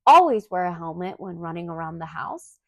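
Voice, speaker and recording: A woman's voice: on the low side, lively intonation, brisk, no room sound, highs cut off, voice pushed down. A woman voicing an earnest, bossy little girl in a storybook, prim, polite and officious.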